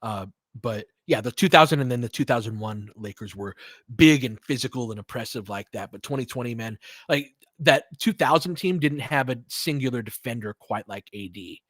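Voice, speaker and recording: A man hosts live basketball play-by-play in a casual, analytical way. He talks like a fan chatting with listeners, with mild slang, at a middling pitch. The sound is close and dry, with very little room. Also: animated, normal speed, a bit bright, no room sound. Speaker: play-by-play commentator and host.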